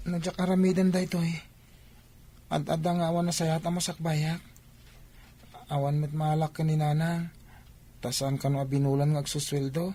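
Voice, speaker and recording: An actor with a Filipino accent playing an earnest, hard-up schoolchild in a radio drama, soft-spoken and plaintive. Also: mid-range voice, level tone, moderate pace, pauses often, close to an everyday voice. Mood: dejected.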